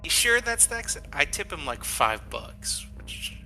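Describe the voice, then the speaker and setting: Male tabletop player, casual role-play, very bright somewhat high voice, faint music behind. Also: big pitch swings, unhurried, vocal fry.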